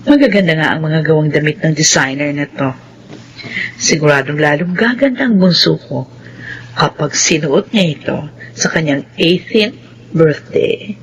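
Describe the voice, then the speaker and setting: A woman's voice: deep voice, animated, moderate pace, a little hiss or hum, low-quality recording. An actress with a Filipino accent playing a caring, doting middle-aged mother in a radio soap. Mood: light, doting.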